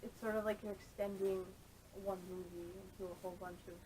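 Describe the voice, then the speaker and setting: A woman's voice: level tone, brisk. A woman chatting casually on a podcast, tentative and hedging as she talks, recorded on a camera microphone. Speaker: podcast panelist. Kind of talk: casual chat. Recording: camera audio with faint rumble.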